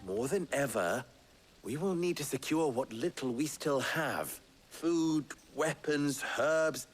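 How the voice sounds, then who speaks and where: An actor playing an eloquent, wry, calculating town schemer in a video game, speaking in florid, measured phrases with dry wit. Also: male voice, mid-range voice. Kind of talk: video game cutscene acting.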